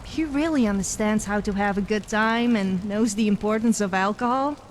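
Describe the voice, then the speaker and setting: A woman's voice: medium pitch, normal speed, a little hiss or hum, natural voice. A woman voicing a wry, fun-loving female adventurer companion in a game, speaking in a dry, chatty way. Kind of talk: game character dialogue.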